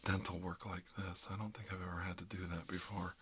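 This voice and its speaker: A man playing a gentle, reassuring dentist in a soft-spoken ASMR roleplay, murmuring hesitantly and often slipping into a whisper. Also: sits low, level tone, fast talker, background noise, close to an everyday voice. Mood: calm, kindly. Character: gentle dentist treating a vampire patient.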